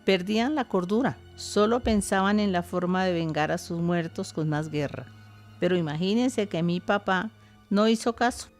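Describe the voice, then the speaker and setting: A woman's voice: lowish voice, normal speed, faint music behind, natural voice. An older woman with a Colombian accent playing a grandmother who recounts her rural childhood in a radio drama. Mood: grave, reminiscing. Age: over 60.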